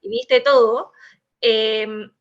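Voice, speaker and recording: A woman with a fairly high voice and a Chilean accent explains her views as an in-house lawyer on a panel. She talks in a discursive way, full of "eh" fillers and restarts. The sound is somewhat muffled and lo-fi. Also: steady tone, very slow. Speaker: in-house legal manager, panelist.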